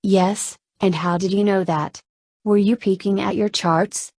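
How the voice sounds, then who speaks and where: Storybook nurse, played by a female reader: brisk, folksy, cautioning, slight Southern accent, mid pitch. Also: steady tone, a little mellow, dry recording, clean studio sound. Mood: brisk, curious. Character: motherly, brisk hospital nurse woman, secretive and cautioning.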